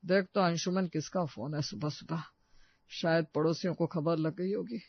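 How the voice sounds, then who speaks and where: Man acting a high-pitched grumbling old father. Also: steady tone, normal speed, no echo, muffled recording. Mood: mock despair. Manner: sarcastic, theatrical complaining. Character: grumbling, melodramatic elderly father craving rich food. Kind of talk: cartoon story acting.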